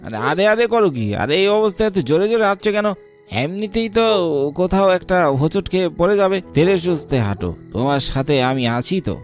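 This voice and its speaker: A man's voice: sits high, very animated, fast, soft background music. A man acting a carefree young village husband in a cartoon, coaxing and reassuring in a breezy, insistent way. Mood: anxious concern.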